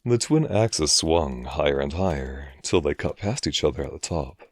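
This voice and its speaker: A man's voice: very deep voice, expressive, moderate pace, slightly rough, dry recording, clean studio sound. A man narrating a scripted first-person horror story.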